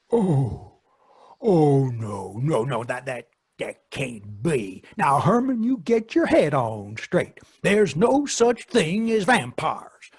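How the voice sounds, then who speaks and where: A man playing an excitable, grumbling farm husband in a radio play, with a folksy, stammering delivery. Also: high voice, husky, no room sound, full character voice. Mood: puzzled, doubting. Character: excitable, grumbling farmhand husband Herman, rural and superstitious. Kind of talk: old-time radio play acting. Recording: dry live video-call recording.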